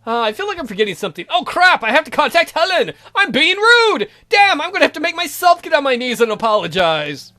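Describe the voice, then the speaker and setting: A man with a fairly high, bright voice gives playful game commentary. He is jokey and irreverent, reading dialogue aloud in a very animated way. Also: fast talker, hardly any pauses, soft background music. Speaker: let's play commentator.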